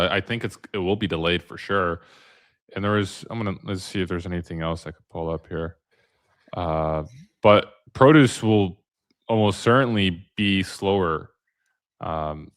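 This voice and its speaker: A man's voice: sits low, a bit bright, no echo, studio quality. A man hosting a casual podcast chat, easygoing, reading posts aloud to his co-host. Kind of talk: casual freight market chat.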